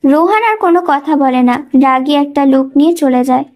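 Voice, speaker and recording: A woman's voice: high-pitched, moderate pace, darkish tone. A woman reading a scripted romantic story as a dramatic narrator.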